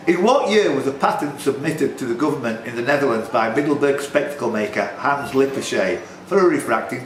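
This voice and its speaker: A man with a fairly high voice runs a live pub quiz, reading out questions and scores. He banters and throws in self-deprecating, hesitant asides. The recording is clearly roomy, with faint noise behind him. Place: pub function room, indoors.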